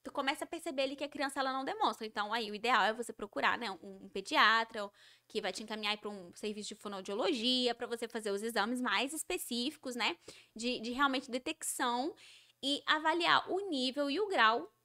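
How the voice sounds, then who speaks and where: High bright-voiced woman with Mineiro accent. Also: a little fast. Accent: Mineiro Brazilian Portuguese. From Minas Gerais, Brazil. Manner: explains patiently with everyday examples. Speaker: guest educator. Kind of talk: casual interview chat.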